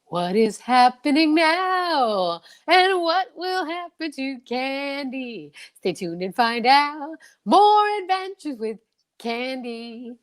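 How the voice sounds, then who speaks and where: A woman's voice: high-pitched, sing-song, slow. A woman announcing an old-time radio serial with theatrical, questioning teasers.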